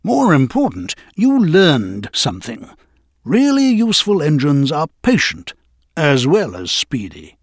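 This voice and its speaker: A man playing a kindly, authoritative older railway boss, measured and instructive, in a children's storybook. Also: a little high, huge pitch swings, dry recording, studio quality, full character voice. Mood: amused approval.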